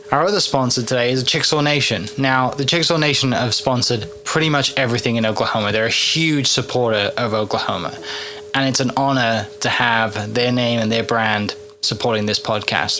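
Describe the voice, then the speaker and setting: Bright-voiced upbeat male host. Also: medium pitch, a little hiss or hum. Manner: upbeat, chatty, uses fillers. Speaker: podcast host. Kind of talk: casual podcast hosting and sponsor reads.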